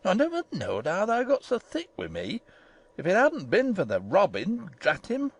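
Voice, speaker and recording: A woman's voice: medium pitch, huge pitch swings, moderate pace, breathy edge, heavily acted. A woman voicing an indignant, blustering old male gardener with a Yorkshire accent in an audiobook. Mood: scolding. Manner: blustering, haranguing. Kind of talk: audiobook character voice.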